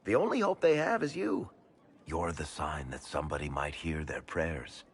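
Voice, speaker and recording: An actor playing a wry, plain-spoken businessman in a video game, talking casually and a little haltingly in a gravelly voice. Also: extremely low pitch, very animated, slight background noise, exaggerated voice. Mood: earnest. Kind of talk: video game dialogue acting.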